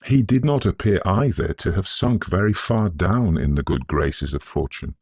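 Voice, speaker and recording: Very deep, husky male narrator. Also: lively intonation, darkish tone, dry recording. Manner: scholarly, measured, atmospheric delivery. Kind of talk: scripted narration of a Victorian ghost story.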